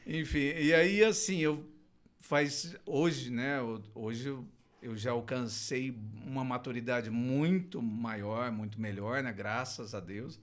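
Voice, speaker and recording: A middle-aged man from Londrina in Brazil talks about his years in theater and clowning. His voice sits at a medium pitch. He hesitates often, restarting phrases and padding them with fillers as he recalls things. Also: slow pace. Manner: hesitant, many restarts and fillers, laughs easily. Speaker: guest, clown and theater teacher. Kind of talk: casual storytelling interview.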